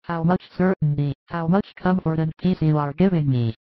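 A man's voice: a little high, moderate pace, no room sound, pitched above the performer's own voice, close to an everyday voice. A man voicing a devout, tender, anxious mother of biblical times in a dramatized religious story, with fervent, effusive speech. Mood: grateful, pleading. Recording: dull lo-fi recording.

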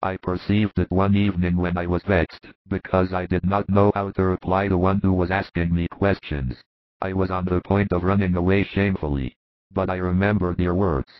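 A man voicing a low, earnest humble disciple. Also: little pitch movement, normal speed, no room sound, natural voice. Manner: earnest, reverent recounting. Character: humble male disciple recalling with awe. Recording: muffled dry recording.

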